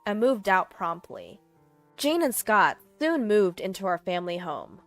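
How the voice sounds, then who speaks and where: Lively young woman telling a story. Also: mid-range voice, measured pace, no room sound. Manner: calm first-person storytelling. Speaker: narrator. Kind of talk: scripted story narration. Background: faint music.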